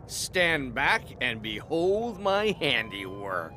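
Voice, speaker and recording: An actor playing a pompous drunken party guest in a game, speaking in a flowery, theatrical, declamatory way. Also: male voice, medium pitch, very animated, slow pace, sharp tone, slight background noise, full character voice. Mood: showy, mocking. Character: loud drunken pompous male party guest making a scene.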